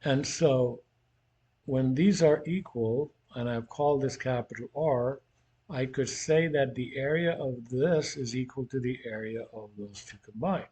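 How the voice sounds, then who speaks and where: Male teacher, dark relaxed tone, husky edge, mid pitch, casual thinking-aloud explanation. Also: measured pace. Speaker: physics teacher. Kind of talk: informal worked-problem lesson.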